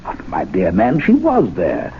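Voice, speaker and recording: An actor playing a well-spoken club president who is polite but insistent, in a 1970s radio drama. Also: male voice, sits low, wildly swooping pitch, a little slow, a little hiss or hum, heavily acted. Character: poised male club president, firm and smooth. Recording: dull lo-fi old radio recording.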